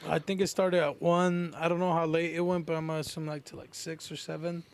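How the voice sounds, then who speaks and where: A man's voice: on the high side, flat delivery, fairly quick, creaky. A man co-hosting a podcast in casual conversation, with laid-back, slangy phrasing. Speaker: podcast co-host. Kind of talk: casual chat.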